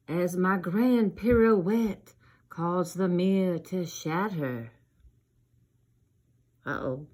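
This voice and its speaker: A woman's voice: mid-range voice, a little mellow, voice pushed down. A woman voicing a little zombie ballerina girl, dramatic and eager, in a theatrical children's storybook reading.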